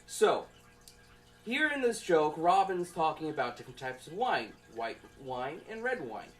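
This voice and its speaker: A young man giving an informal student presentation from notes, in a halting, conversational way. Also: fairly high pitch, big pitch swings. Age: young adult.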